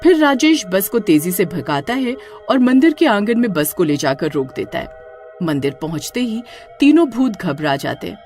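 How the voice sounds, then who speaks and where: A woman's voice: mid-range voice, sing-song, moderate pace, a little mellow, faint music behind. A woman narrating a horror-comedy cartoon story in a dramatic, suspenseful way.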